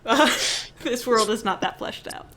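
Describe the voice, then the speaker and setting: A woman's voice: on the high side, a little slow, background noise. A woman hosting a tabletop game on a livestream, chatting casually in a playful, joking way and laughing readily. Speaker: game master and stream host.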